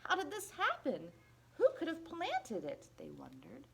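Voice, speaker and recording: A woman reading a children's picture book aloud in an expressive, deliberate, playful storytelling manner. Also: high-pitched, creaky. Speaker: storybook reader at a library.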